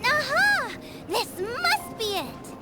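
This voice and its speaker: Young chef girl, food-obsessed, very high voice, slow lively delivery, loud music. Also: full character voice. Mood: excited. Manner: bubbly, excitable about ingredients. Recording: game audio with loud music.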